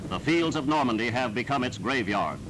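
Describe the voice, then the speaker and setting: A man's voice: medium pitch, animated, fairly quick, very noisy. A man reading scripted 1940s wartime newsreel narration in a formal, dramatic, declamatory manner. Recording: vintage 1940s newsreel soundtrack.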